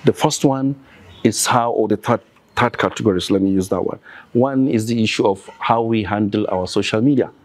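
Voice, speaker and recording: Low-voiced Nigerian man, earnest. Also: moderate pace. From northern Nigeria. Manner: earnest, lists examples insistently. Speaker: podcast guest. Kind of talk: conversational interview.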